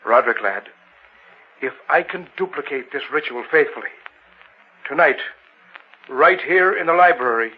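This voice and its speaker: Obsessive occult scholar, dull old recording. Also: male voice, fairly high pitch, animated, moderate pace, long pauses, breathy edge. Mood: fervent anticipation. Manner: grave, theatrical, pedantic. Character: older male occult scholar, obsessive, patronizing, eerily eager. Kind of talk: old-time radio horror drama acting.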